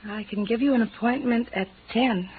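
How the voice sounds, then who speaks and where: A woman's voice: fairly high pitch, normal speed, lightly acted. A woman playing a pleasant, efficient doctor's receptionist in a 1950s radio drama, polite and businesslike. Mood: helpful. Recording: tinny, hissy 1950s radio recording.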